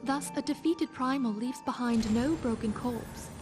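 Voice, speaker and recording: Game leader, played by a woman: composed, authoritative, scholarly, lightly high voice, loud music beneath. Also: a little slow, lightly acted. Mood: measured, explanatory. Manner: formal, explanatory, assured. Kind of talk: theatrical fantasy game dialogue.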